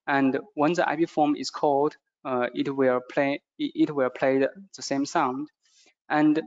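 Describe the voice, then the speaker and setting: A man with a non-native English accent gives a product training presentation, speaking haltingly and repeating phrases as he goes. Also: mid-range voice. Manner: halting, repetitive phrasing with stumbles. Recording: dull, muffled lo-fi audio.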